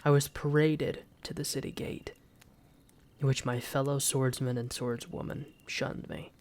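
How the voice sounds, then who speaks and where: A man playing an embittered exiled knight in a fantasy audio drama, speaking formally and solemnly with a gravelly voice and dramatic pauses. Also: mid-range voice, flat delivery, a little mellow, close to an everyday voice. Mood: wounded, grave. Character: embittered exiled knight, wounded pride, grieving for his mother. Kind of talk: first-person fantasy roleplay audio drama.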